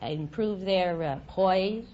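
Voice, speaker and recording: A woman's voice: on the low side, takes it slowly. An American woman from New York, a quiz show contestant giving earnest, plainly informative answers. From Staten Island, New York. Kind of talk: spontaneous interview answers. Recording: dull old radio recording.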